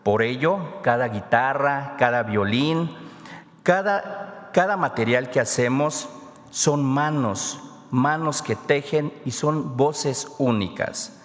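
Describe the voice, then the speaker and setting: A man's voice: mid-range voice, slow. A man whose first language is Ayuuk, reading a solemn scripted segment as presenter at a press conference. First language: Ayuuk (Mixe). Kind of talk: read scripted narration. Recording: reverberant hall.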